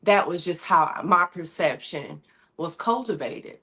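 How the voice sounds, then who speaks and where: Candid low-voiced woman from Michigan. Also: expressive, measured pace, sharp tone. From Michigan, USA. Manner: candid, conversational, drops into slang. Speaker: guest, singer-songwriter. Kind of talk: casual interview chat.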